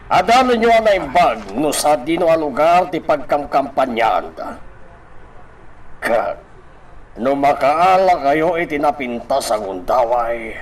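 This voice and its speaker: A man acting as a haughty, vengeful political boss in a Filipino radio drama, with an Ilocano accent. He talks slowly and commandingly, swinging widely in pitch from a fairly high register. There is clear noise behind him. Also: rough recording.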